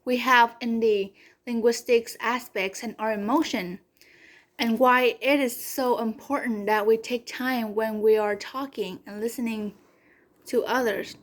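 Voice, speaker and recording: A woman's voice: fairly high pitch, fairly even intonation, unhurried. Earnest young woman, Vietnamese first language. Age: young adult. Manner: earnest, careful, repeats phrases when restarting. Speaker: community college student. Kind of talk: class presentation speech. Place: home room, online class video.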